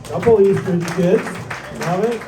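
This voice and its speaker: A man's voice: sits high, measured pace, far from the mic, background noise. A man in his fifties emceeing a team event, introducing players with teasing, upbeat banter. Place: large indoor event hall. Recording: echoey hall from afar with crowd.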